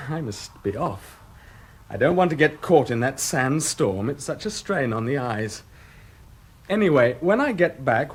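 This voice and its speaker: An actor playing a sarcastic, menacing, unhinged brother in a radio drama, husky and theatrically taunting. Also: male voice, mid-range voice, heavily acted. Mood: coolly menacing. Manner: theatrical, taunting, swinging from silky to explosive.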